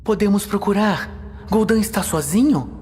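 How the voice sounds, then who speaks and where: A man voicing a stern, unflinching elf warden woman, terse and deadpan, in a fantasy audio series. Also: high voice, normal speed, slightly rough, pitched above the performer's own voice. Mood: brisk. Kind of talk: dramatic fantasy audiobook acting.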